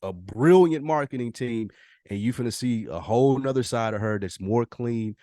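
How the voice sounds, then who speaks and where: A man co-hosting a casual chat podcast, animated and opinionated, often slipping into quoted voices. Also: medium pitch, normal speed, no echo, studio quality. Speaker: podcast co-host.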